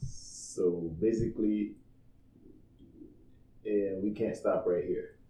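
A man's voice: fairly low pitch, steady tone, takes it slowly, mellow. A man co-hosting a podcast in a casual, conversational style. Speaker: podcast co-host. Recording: dull, echoey room recording.

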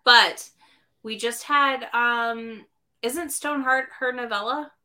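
Casting note: woman podcast host, bright, forceful tone, chatty and self-correcting. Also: fairly high pitch, slow. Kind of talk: casual book discussion.

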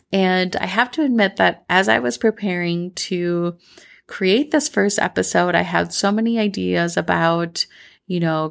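A woman in her thirties from Colombia hosts her own podcast and shares her life story in a chatty, reflective way. Her voice sits fairly low with a gravelly touch of fry, and she talks in easy, unscripted runs. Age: 30s. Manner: warm, reflective, chatty with fillers. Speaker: podcast host. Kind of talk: personal storytelling monologue.

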